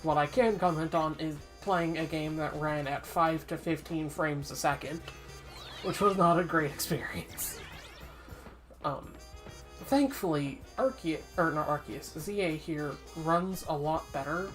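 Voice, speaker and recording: A low-pitched woman talks through her gameplay in a relaxed, rambling way, full of ums and frank takes. Her voice sounds a little congested and sniffly. Soft game music plays faintly underneath. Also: unhurried. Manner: chatty, frequent ums and uhs, candid opinions. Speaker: streamer. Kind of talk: casual gameplay commentary.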